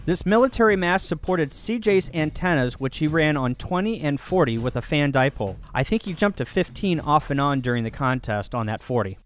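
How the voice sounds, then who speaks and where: A man with a slightly high voice narrates a video tour as one of the operators. Faint music plays behind him. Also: expressive, a little fast, hardly any pauses. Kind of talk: scripted narration and casual on-site tour.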